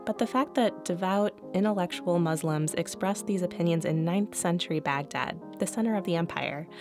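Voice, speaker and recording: A woman with a fairly low voice narrates a podcast script in a clear, even way. Soft music runs faintly behind her. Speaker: podcast host.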